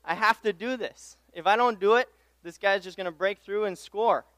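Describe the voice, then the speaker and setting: A man's voice: high-pitched, normal speed, fairly bright tone, no echo. A young man from Tanzania giving an informal, conversational sermon, casual and self-deprecating, often repeating his phrases. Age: young adult. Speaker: pastoral intern preaching as guest speaker. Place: church pulpit.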